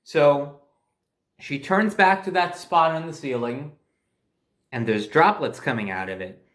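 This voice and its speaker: A man's voice: a little high, unhurried, fairly bright tone. An American man telling a personal anecdote in a casual, conversational and emphatic way, as a storyteller. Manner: conversational, emphatic, mildly profane.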